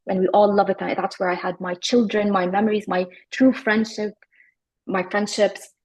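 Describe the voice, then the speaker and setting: A woman in her forties, from Iraq, talking reflectively as an interview guest, often pausing with fillers and restarting her sentences. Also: medium pitch, flat delivery, a little mellow, husky, low-quality recording. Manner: thoughtful, many fillers and restarts.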